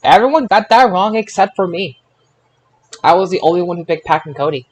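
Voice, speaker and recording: A man's voice: sits high, lively intonation, brisk. A man hosting a casual wrestling results discussion, stumbling over names and repeating himself. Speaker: podcast host. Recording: clear background noise.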